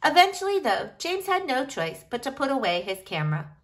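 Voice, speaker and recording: A woman reading a picture book aloud in an expressive, deliberate storytelling style. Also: high-pitched. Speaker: reader of a children's picture book.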